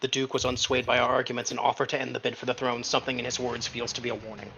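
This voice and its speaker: A man talking as a player in a tabletop game, casual but deliberate and precise. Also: medium pitch, completely flat delivery, gravelly. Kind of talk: casual table talk, reading out own drafts.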